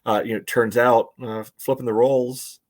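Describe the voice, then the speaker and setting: An American man co-hosting a casual soccer podcast, breaking down play in a halting way full of verbal tics. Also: medium pitch, level tone. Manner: halting, peppered with "you know". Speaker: podcast co-host and soccer supporter. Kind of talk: casual sports analysis chat.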